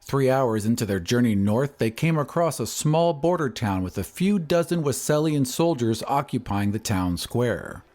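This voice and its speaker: Guy narrating a fantasy story recap, scripted and dramatic, mid-pitched voice. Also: animated, moderate pace, few pauses, a little mellow, faint music behind.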